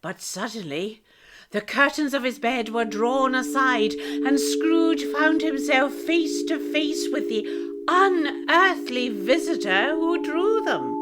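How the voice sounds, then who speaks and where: A woman narrates a radio drama in a fairly high voice. Her storybook delivery is slow and deliberate, phrased for drama, with loud music underneath.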